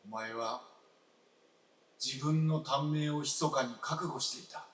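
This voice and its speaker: Slow, grieving old husband in radio drama. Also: male voice, mid-range voice, fairly bright tone. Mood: subdued, wistful. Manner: halting, heavy with emotion, falling to near whispers. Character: grieving, regretful old husband talking to his late wife. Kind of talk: live stage radio drama acting. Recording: roomy stage, distant mic, faint noise.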